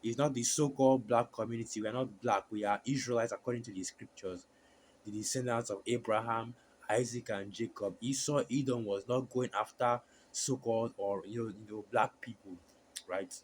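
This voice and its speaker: A man with a hoarse voice from a sore throat, preaching a sermon and reading scripture in a halting way, with many fillers and restarts. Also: mid-range voice, fairly even intonation, slight background noise, rough recording.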